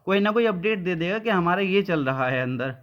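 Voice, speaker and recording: A man's voice: high-pitched, lively intonation, brisk, highs cut off. A man giving an informal update to students as a coaching teacher, blunt and colloquial, often checking they follow with short tags.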